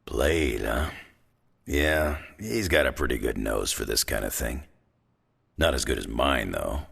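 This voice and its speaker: An actor playing a gruff, wry, rugged monster tracker in a video game, with a gravelly, raspy voice and a folksy, blunt way of talking. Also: male voice, very deep voice, expressive, measured pace, a bit bright, dry recording, full character voice. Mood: wary, wry. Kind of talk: video game character acting.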